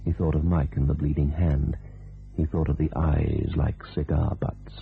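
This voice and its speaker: A man narrating a grim crime thriller radio play in terse, deliberate sentences with a very deep, gravelly voice. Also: level tone. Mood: grim, suspenseful. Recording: tinny band-limited radio recording, music behind.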